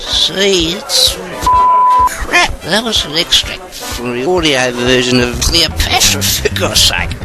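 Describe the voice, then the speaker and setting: Blunt man, high gravelly voice. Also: animated, takes it slowly, over loud music, poor audio. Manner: blunt, rambling, crude. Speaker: pub interviewee.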